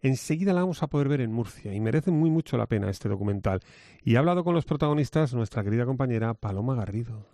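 Quick-talking male radio host. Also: mid-range voice, lively intonation, warm tone, dry recording. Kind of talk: radio program introduction.

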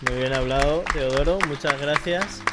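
A man hosting a casual interview, brisk and friendly, with short laughs between questions. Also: on the high side, a loud crowd, heavy background noise. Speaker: host. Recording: clear music in background. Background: music.

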